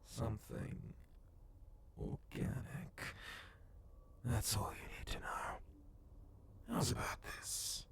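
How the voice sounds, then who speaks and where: Male actor as menacing cyborg gang leader, gravelly fry, wildly swinging pitch, breathy close-mic whispering, mocking, dark ASMR roleplay. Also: very noisy, exaggerated voice. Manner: slow, deliberate, taunting, swearing. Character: menacing sadistic male cyborg gang boss and bounty hunter.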